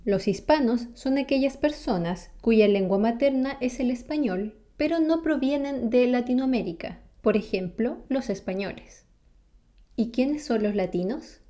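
Mellow-voiced Chilean woman, friendly explainer. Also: a little high, a little slow. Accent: Chilean Spanish. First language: Spanish. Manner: friendly, asks the viewer questions, laughs often. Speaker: vlogger. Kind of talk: explanatory talk to camera.

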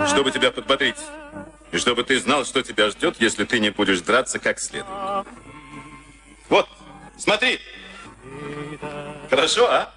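An actor in a Soviet radio play playing a sadistic SS camp officer, mocking and commanding, with theatrical delivery. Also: male voice, mid-range voice, expressive, unhurried, long pauses, pushed and bright. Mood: gloating. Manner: mocking, theatrical, barking orders. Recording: archival radio drama with music behind.

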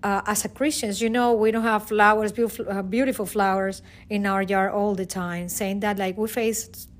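A woman's voice: mid-range voice, steady tone, moderate pace. A middle-aged woman from Venezuela with a Venezuelan Spanish accent, a teacher giving an earnest, emotional personal testimony with many restarts. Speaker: teacher and guest.

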